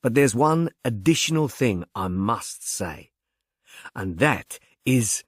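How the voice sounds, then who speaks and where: A man's voice: mid-range voice, expressive, slow pace, breathy edge, no echo, clean studio sound. A man voicing a smug, insane murderer who confesses in pompous, precise, wordy language, as in an audiobook. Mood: smug, matter-of-fact. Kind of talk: audiobook first-person confession.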